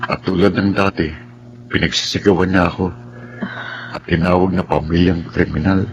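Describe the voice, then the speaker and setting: An actor playing a gentle, respectful young man in a radio drama, speaking earnestly and politely. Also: low voice, flat delivery, normal speed, highs cut off, background noise. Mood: sorrowful, polite. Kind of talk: radio drama narration and dialogue. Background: dramatic music.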